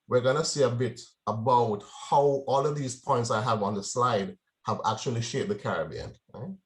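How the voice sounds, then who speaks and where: A man from Jamaica giving a conversational presentation to a club, often checking in with the audience. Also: medium pitch. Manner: conversational, frequent "right?" check-ins. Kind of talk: informal presentation.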